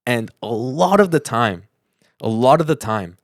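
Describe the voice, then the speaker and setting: A man's voice: medium pitch, expressive, a little slow, no room sound, studio quality. A young adult man with an American accent talking casually and earnestly on a podcast, giving advice as a co-host.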